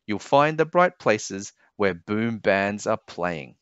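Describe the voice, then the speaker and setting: A man reading a children's storybook aloud expressively, with a deliberate, sing-song delivery of rhyming verse. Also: lowish voice, animated, a bit bright, smooth, no echo, dull audio. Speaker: storybook reader.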